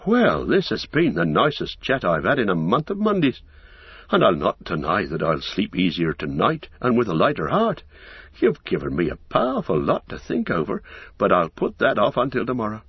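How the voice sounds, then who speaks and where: A man's voice: fairly low pitch, huge pitch swings, moderate pace, breathy edge, dry recording, lo-fi sound, full character voice. A man voicing a good-hearted, easily flustered innkeeper in a storybook, rambling along in folksy, rustic phrases. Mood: contented, chatty. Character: broad-faced, garrulous, good-hearted, flustered male innkeeper. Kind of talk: audiobook character acting.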